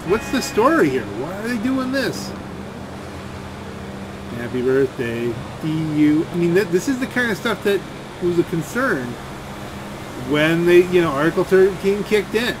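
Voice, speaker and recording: Casting: male gaming creator, slightly high voice, rambling complaint-style commentary with repeated words, noisy gameplay behind. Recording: game and engine noise behind voice.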